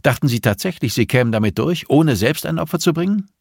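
A male narrator plays a policewoman questioning a suspect in an audiobook thriller. She is firm and defiant, with pointed, confrontational phrasing. The voice is lightly husky, raised in pitch and dry. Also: lively intonation, quick pace, darkish tone, dry recording. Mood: firm, defensive.

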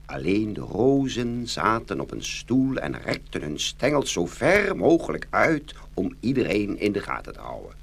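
A man narrating a scripted children's fairy tale in an expressive, dramatic storytelling manner. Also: mid-range voice, vocal fry, slightly rough.

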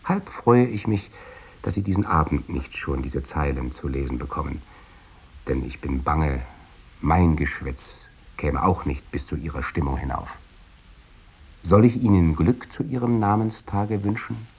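An actor voicing a devoted, self-doubting letter writer in a radio drama, intimate and hesitant. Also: male voice, very deep voice, unhurried, a little mellow, breathy edge, heavily acted.

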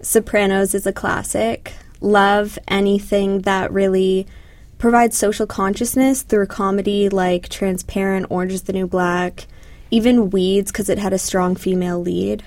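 Young woman from Canada, mid-pitched voice, articulate interview guest, dry close mic. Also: flat delivery, measured pace, a little mellow, no echo. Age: young adult. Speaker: podcast guest, graduate student. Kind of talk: interview answers.

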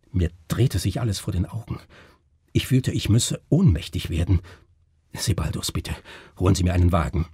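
Man acting a horrified, breathy, husky doctor. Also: deep voice, dark tone, no room sound, studio quality, heavily acted. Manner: breathy groaning and whispering, dramatic. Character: horrified doctor, faint, agitated and urgent. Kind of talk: German literary radio drama reading.

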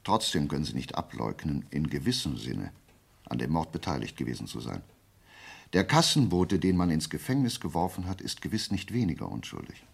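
An actor playing a shrewd, insistent gentleman detective in a German radio play, questioning formally and deliberately in a gravelly voice. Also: rumbling low, very animated, heavily acted. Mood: insistent, measured.

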